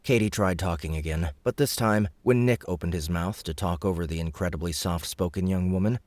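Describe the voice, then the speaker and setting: Male narrator for fantasy fiction audiobook; deep register, dry studio sound, scripted storytelling. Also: fairly quick, a little mellow, no room sound.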